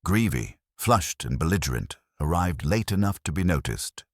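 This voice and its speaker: Very deep, husky male story narrator. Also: very animated, moderate pace, no echo, clean studio sound. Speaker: narrator of a detective mystery story. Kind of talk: scripted audiobook narration, first-person detective fiction.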